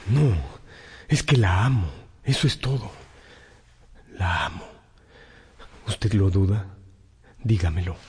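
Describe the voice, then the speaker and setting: Deep, husky radio-drama seducer. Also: male voice, lively intonation, slow pace, pauses often, a little mellow, in a large hall, exaggerated voice. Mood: fervent confession. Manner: pleading, theatrical, courtly. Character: ardent, insistent male seducer wooing a married woman.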